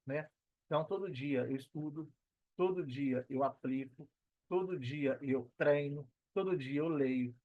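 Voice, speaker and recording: A man's voice: fairly high pitch, no echo, lo-fi sound. A man with a Brazilian Portuguese accent speaking as a podcast guest in a casual, motivational way, repeating phrases for emphasis.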